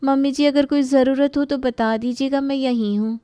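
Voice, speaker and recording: A woman's voice: high-pitched, fairly even intonation, moderate pace, dark tone, no echo, pitched above the performer's own voice, close to an everyday voice. A woman voicing a gossipy, scheming young daughter-in-law who feigns innocence in a moral story. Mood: coaxing.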